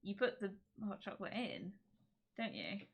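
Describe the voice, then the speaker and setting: A woman's voice: mid-range voice, huge pitch swings, normal speed, vocal fry. A woman, from Czechia, chatting and rambling on a live stream and giggling often. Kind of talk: casual live-stream chat.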